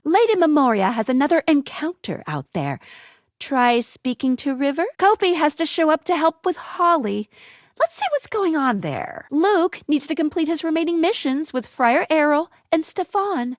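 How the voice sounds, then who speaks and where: A young female guide character from a dating game, helpful and peppy. Her voice sits high and leaps around with theatrical, sing-song bounce. The recording is close and very dry, with scripted lines delivered in a bubbly way. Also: no room sound. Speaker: video game voice actor. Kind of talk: scripted game hint dialogue.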